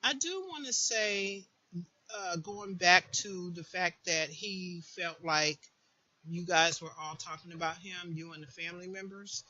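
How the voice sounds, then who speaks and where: A woman's voice: on the low side, measured pace, sharp tone, muffled recording. A woman giving informal spoken advice as a host, with an emphatic manner that repeats words for stress. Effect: voice distortion late in the recording. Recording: faint background noise.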